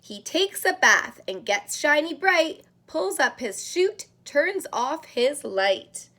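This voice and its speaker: A woman reading a picture book aloud to young children like a daycare teacher, in an expressive, sing-song way with drawn-out words. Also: high-pitched. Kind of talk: animated read-aloud of a children's storybook.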